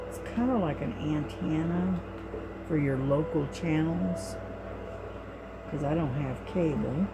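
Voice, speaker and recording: A middle-aged woman with a low voice, talking quietly and sleepily in a rambling way while diamond painting at home, recorded on a cell phone. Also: very noisy. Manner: quiet, soft-spoken and rambling. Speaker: crafting vlogger.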